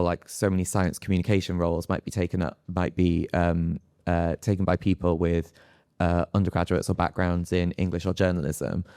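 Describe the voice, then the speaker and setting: A man with a very low, gravelly voice speaks on a panel. His pitch stays fairly flat and the sound is dry and close. He talks reflectively, feeling his way through each thought. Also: no room sound. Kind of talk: unscripted panel discussion.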